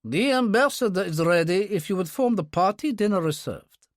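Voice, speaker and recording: A man as a high-pitched, deferential aide. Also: expressive, normal speed, dry recording, clean studio sound. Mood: formal. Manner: stiffly polite, hasty, servile. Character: formal, anxious, deferential male embassy aide.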